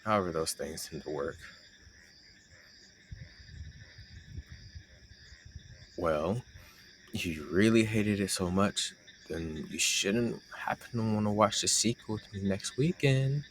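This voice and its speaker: A man acts out a young male roommate with a low-pitched voice, bantering in a casual, teasing way. He speaks directly to the listener like a close friend hiding a crush, in a roleplay audio with slight background noise. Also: lively intonation, normal speed, close to an everyday voice. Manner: teasing, conversational, sarcastic banter.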